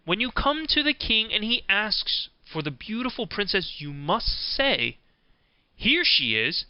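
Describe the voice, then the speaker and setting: Man reading as a smooth-voiced, wise storybook fox. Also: high-pitched, sing-song, a little slow, no echo, lo-fi sound, pitched above the performer's own voice. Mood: calmly instructing. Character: wise helpful male fox giving careful counsel.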